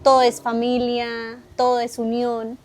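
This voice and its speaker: A woman's voice: fairly high pitch, monotone, a little slow, slight background noise. A Colombian woman hosting an interview, agreeable, nodding along with short affirmations and adding her own thoughts. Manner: agreeable, short affirmations, builds on the guest's points. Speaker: host. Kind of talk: conversational interview.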